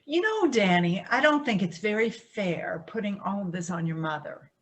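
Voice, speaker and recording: Woman acting an animated, placating family peacemaker. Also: mid-range voice, normal speed, lightly acted. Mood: tentative, chiding. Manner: placating, tentative, coaxing. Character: conciliatory, gently meddling woman, family peacemaker. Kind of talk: staged play reading.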